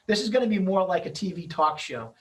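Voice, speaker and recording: A man's voice: high voice, sing-song, brisk, a bit bright, far from the mic. A middle-aged man speaking as the host and moderator of an event, in a semi-formal, loosely scripted introducing style on a video-call microphone.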